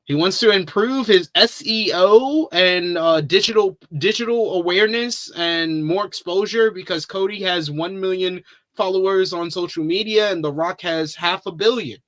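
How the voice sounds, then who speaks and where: Guy hosting wrestling talk, sharp bright voice, kinda high, hams up the big words. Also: normal speed. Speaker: host of a wrestling preview show. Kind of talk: animated wrestling talk show commentary.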